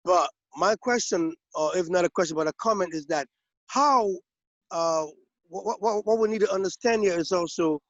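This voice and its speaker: Man, slightly high voice, halting with many restarts, webinar participant, video call. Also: no echo. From Liberia. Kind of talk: spontaneous webinar comment. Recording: dull, lo-fi video call audio.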